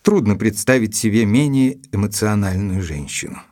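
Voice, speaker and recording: An actor playing an observant, loyal gentleman who reports a mystery in a measured, formal, deliberate way, as in an audio drama. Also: on the low side, no room sound, natural voice. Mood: measured, reflective. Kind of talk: scripted audio drama narration, reading out a letter. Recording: studio recording with music underneath.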